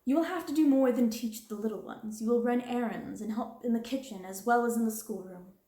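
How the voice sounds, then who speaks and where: Woman putting on a harsh, high-pitched domineering schoolmistress. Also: animated, fairly quick, mellow, echoey room, natural voice. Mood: commanding. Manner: cold, scornful, commanding. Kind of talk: storybook reading.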